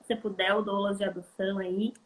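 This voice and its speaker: A woman's voice: mid-range voice, flat delivery, fairly quick, reverberant, poor audio. A woman with a Brazilian accent hosting a casual live chat, chatty and welcoming to those joining. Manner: chatty, welcoming viewers by name. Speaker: live stream host, clinical psychologist.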